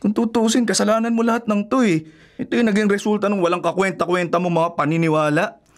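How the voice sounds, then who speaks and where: An actor playing a blunt, exasperated fiancé who complains and argues in a radio drama. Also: male voice, sits high, fairly even intonation, quick pace, close to an everyday voice. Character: exasperated, grumbling fiancé, an adult man. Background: music.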